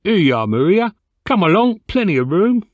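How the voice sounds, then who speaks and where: Eager railway travellers, played by one man: excitable storybook figures, high and lively. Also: normal speed, dry recording, highs cut off. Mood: excited. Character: eager railway passengers, a couple, calling excitedly.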